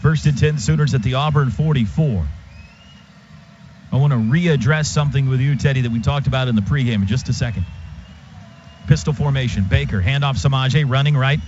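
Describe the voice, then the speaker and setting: A man doing live radio play-by-play of a football game in clipped phrases that can turn excited. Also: medium pitch, a little fast. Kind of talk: live American football commentary. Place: stadium radio booth. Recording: noisy, muffled stadium radio broadcast.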